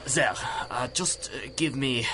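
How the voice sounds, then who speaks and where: An actor playing a nervous, guilt-ridden rocket scientist caught up with villains in a radio drama. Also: male voice, mid-range voice, slow, background noise, natural voice. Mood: nervous resolve. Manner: nervous, hesitant. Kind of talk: 1930s-style radio drama acting.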